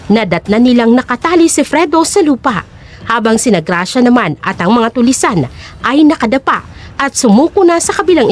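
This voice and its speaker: A woman giving scripted, dramatic storytelling narration for an audio drama. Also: sits high.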